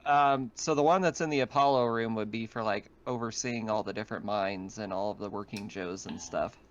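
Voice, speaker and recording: A man's voice: on the low side, a little fast, dull audio. A man explaining game details conversationally as the game master of an online tabletop session. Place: online voice call.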